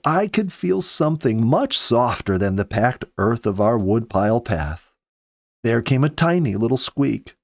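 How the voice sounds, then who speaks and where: A man in his fifties reading a story aloud with earnest, measured, dramatic phrasing, close to a studio microphone. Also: mid-range voice, gravelly, darkish tone, dry recording. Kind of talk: expressive audiobook-style story narration. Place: small home study, indoors. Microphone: close studio mic with pop filter.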